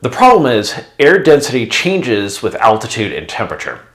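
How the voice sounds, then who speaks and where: A man's voice: medium pitch, wildly swooping pitch, normal speed, a bit bright. A man narrating a scripted explainer in a didactic, conversational way. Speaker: presenter, former air traffic controller.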